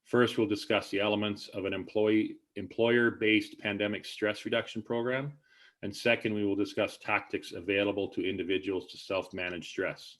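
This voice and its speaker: A man with a low voice hosts an online webinar over a video call. He talks haltingly, restarting sentences and leaning on fillers as he sets things up. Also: level tone, a bit bright. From Canada. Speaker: webinar host.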